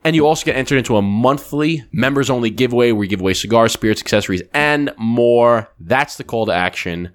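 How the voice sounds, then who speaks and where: Man, podcast host, relaxed, casual swearing, mid pitch. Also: fairly quick, hardly any pauses. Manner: laid-back, swears casually. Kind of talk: casual chat. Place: studio table with a co-host.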